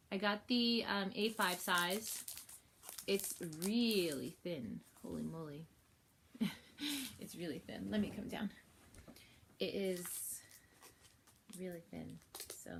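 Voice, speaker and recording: A woman with a cold, sniffly, chatting casually through a planner unboxing at home while filming on her phone, rambling with fillers and soft laughs. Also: on the low side, gravelly, background noise. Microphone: phone held while filming.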